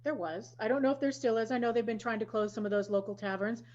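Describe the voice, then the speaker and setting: A fairly high-voiced woman from Wisconsin hosts a casual podcast chat. She tells stories in a chatty, self-deprecating way. The audio is dull and lo-fi, like a video call. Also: fast talker, a little mellow. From Wisconsin, USA. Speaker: podcast host.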